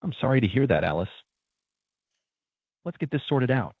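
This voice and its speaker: A man's voice: medium pitch, big pitch swings, moderate pace, husky, no room sound. A man's synthetic voice acting as a polite, procedural automated claim agent with scripted customer service lines. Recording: narrow tinny phone-like sound.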